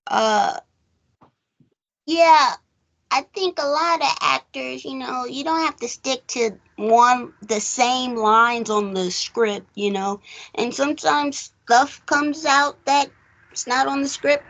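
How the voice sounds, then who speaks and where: A woman's voice: high voice, slow pace, gravelly, a bit bright, low-quality recording. An American woman hosting a casual movie chat, rambling and hedging as she goes, with frequent filler phrases. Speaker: podcast host.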